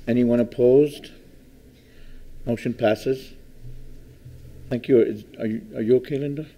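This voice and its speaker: A man chairing a board meeting, calling motions and votes in a brisk, procedural way. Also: medium pitch, fairly even intonation, mellow.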